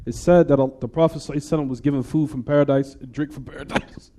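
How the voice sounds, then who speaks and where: Fast-talking man teaching a hadith lesson. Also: mid-range voice, steady tone, warm tone, slight background noise. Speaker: Islamic teacher.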